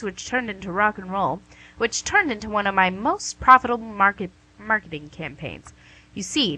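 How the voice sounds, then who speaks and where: A woman's voice: medium pitch, bright, forceful tone, poor audio. A woman playing the Devil in a comic radio play as a smug, teasing, chatty salesperson. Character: the Devil, wheeling-dealing, smug, teasing tempter. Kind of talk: radio play comedy acting.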